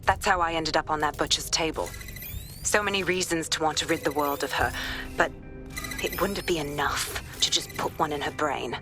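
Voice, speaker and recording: Actress as hardened British female detective, low pitch, terse, determined, video game drama. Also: moderate pace, music in the background, close to an everyday voice. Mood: bitter resolve. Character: hardened, bitter female police detective seeking justice.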